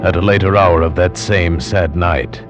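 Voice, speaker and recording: A man acting in a 1940s radio horror play as a solemn, haunted gentleman narrator who speaks in a grave, formal, portentous manner. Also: low voice, steady tone, normal speed, over loud music, natural voice. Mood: foreboding. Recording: dull 1940s radio recording, musical score.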